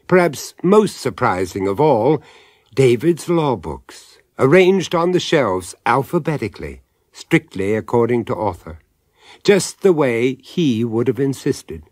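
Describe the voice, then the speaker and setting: Horror radio narrator,; mid-pitched, suave and wry, expressive storytelling, very dry studio sound. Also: male voice, unhurried, dry recording. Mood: suspenseful narration.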